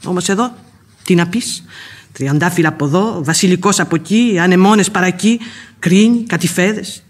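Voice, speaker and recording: Radio drama: woman playing a sharp-tongued, chatty servant nanny, husky, grumbling in folksy sayings. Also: lowish voice, lightly acted. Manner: chatty, folksy, talking back with proverbs. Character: outspoken, grumbling Spanish village housekeeper, old nanny. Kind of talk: Greek radio theatre acting.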